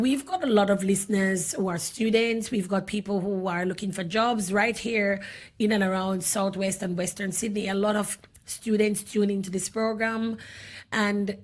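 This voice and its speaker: A woman hosting a community radio interview in a studio, often chiming in with agreement as her guest talks. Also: mid-range voice. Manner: warm affirming interjections, frequent yes. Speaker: radio host. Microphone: studio microphone.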